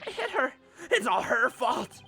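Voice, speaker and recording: A woman's voice: sits high, big pitch swings, measured pace, bright, forceful tone, soft background music, full character voice. A woman voicing an unhinged, bitter male bomber from a video game, ranting in a frantic, repetitive, melodramatic way. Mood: bitter, hysterical.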